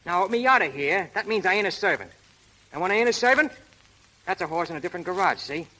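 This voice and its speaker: An actor playing a brash, hot-tempered but lovestruck prizefight manager, talking in rough street slang in 1930s radio style. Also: male voice, high voice, animated, quick pace, bright, forceful tone, heavily acted, low-quality recording. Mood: eager, awkward. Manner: tough, slangy, ungrammatical street talk. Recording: old 1930s radio broadcast recording.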